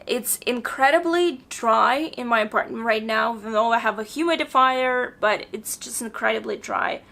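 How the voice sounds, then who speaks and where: A woman's voice: on the high side, moderate pace, vocal fry, sharp tone. A woman chatting casually in a book review vlog from her apartment, losing her voice, with lots of "like" and "um". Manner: chatty, with frequent "like" and "um". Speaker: book vlogger. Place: home apartment.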